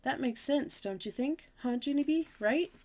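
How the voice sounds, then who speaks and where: A woman's voice: high voice, lively intonation, normal speed, a little mellow, pitched above the performer's own voice. A woman voicing a serious little schoolgirl who speaks in an earnest, matter-of-fact way.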